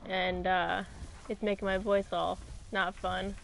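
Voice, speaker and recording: A woman streaming a video game, chatting casually and reacting loudly to the play, her voice hoarse and scratchy from illness. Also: mid-range voice, flat delivery, takes it slowly. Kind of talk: casual gameplay commentary.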